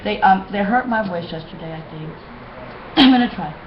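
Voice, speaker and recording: A hoarse woman talking to her webcam in a rambling, repetitive way, often restarting her sentences. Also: medium pitch, expressive, normal speed, lo-fi sound, noisy background. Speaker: vlogger. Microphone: webcam mic.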